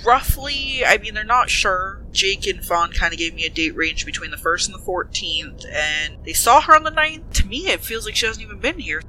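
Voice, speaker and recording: A man's voice: high voice, normal speed, few pauses, sharp tone, a little hiss or hum, rough recording. A man playing a practical, questioning woman detective in an improvised tabletop role-playing game, often thinking aloud. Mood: puzzled, questioning.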